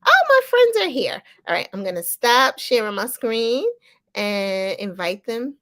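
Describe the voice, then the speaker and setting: A woman's voice: on the high side, sing-song, a little slow, fairly bright tone, no echo, studio quality. A woman hosting a festival livestream over a video call, bubbly and enthusiastic. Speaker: festival host. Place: New York City.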